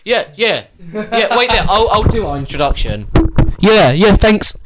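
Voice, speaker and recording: A young man, loudly joking and swearing through casual podcast banter with his bandmates. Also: sits high, sing-song, measured pace, noisy background. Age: young adult. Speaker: podcast host and band member.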